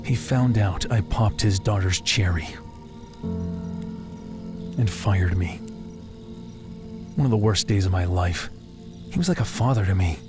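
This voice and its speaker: A man's voice: sits low, a little slow, pauses often. An actor playing a guarded, self-deprecating young American working-class man in an audio drama, with a slangy, laconic way of talking. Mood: regretful, bitter. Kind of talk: naturalistic audio drama dialogue. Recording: music playing behind the voice.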